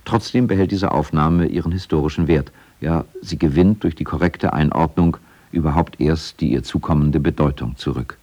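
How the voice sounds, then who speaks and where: Deep-voiced, formal male feature narrator. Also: normal speed, hardly any pauses, dark tone, muffled recording. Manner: formal, factual. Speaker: documentary narrator. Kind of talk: scripted documentary narration.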